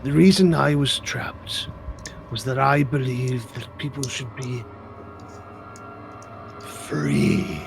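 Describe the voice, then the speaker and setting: A man with a naturally gravelly voice playing his character in a tabletop game, talking in stammering restarts. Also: medium pitch, lively intonation, slow, pauses often, over music. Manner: stammering restarts, laughs often.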